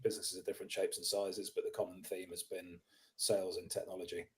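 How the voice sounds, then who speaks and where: A man's voice: deep voice, little pitch movement, fast talker. A man in his fifties from England, with a mixed English and New Zealand accent, talking as a podcast guest about his career in tech sales, with many ums and restarts. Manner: self-deprecating, many ums and restarts, clears throat often. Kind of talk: casual interview talk.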